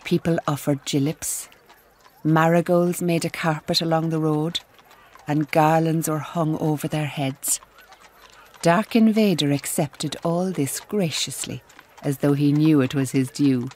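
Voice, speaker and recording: A woman playing a refined, reflective storyteller, narrating a radio drama in a measured, descriptive manner. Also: sits low, steady tone, darkish tone, a little hiss or hum.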